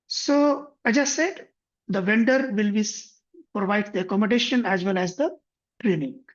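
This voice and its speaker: A man guides viewers through a software tutorial in a husky, slightly breathy voice. He moves step by step and rephrases as he goes. The recording sounds dull and lo-fi. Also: high voice, moderate pace. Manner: methodical, step-by-step, frequent self-corrections. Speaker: software instructor. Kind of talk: screen-share tutorial explanation.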